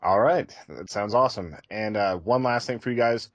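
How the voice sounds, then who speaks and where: A man's voice: on the low side, normal speed, lo-fi sound. A man co-hosting a podcast, talking in a casual, conversational style to his listeners. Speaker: podcast co-host.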